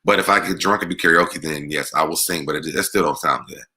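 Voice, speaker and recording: An American man with a deep voice hosting a casual music Q&A, talking conversationally and repeating words for emphasis. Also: fast talker, pushed and bright. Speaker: online show host. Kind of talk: casual live Q&A chat about music.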